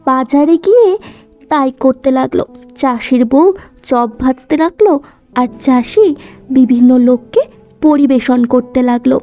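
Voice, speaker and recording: A woman narrating a children's cartoon story in measured, short phrases. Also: high-pitched, dark tone, lo-fi sound. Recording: music behind the voice.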